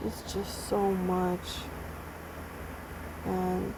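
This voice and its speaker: A young woman, sad and near tears, talking in a halting, repetitive way with sighs between thoughts in a personal video message. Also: lowish voice, completely flat delivery, background noise. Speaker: vlogger.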